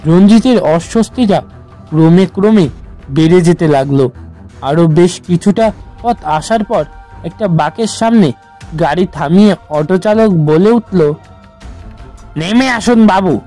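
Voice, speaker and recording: A man narrating a scripted horror story in a measured, suspenseful manner. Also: sits high, mellow, soft background music.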